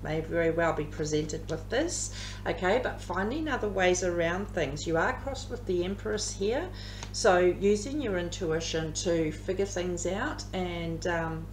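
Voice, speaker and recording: A woman in her fifties with an Australian accent and a slightly croaky voice, chatting her way through a tarot card reading at home, rambling with plenty of ums. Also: fairly low pitch, animated, unhurried. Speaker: tarot reader. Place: living room at home. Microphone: camera across the table. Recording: echoey room with background hum.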